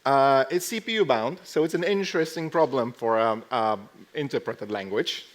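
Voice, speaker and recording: Male conference speaker, mid-pitched, casual lightning-talk style, deadpan humour, explaining tech to a live audience. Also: normal speed. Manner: dry, joking delivery with deadpan asides.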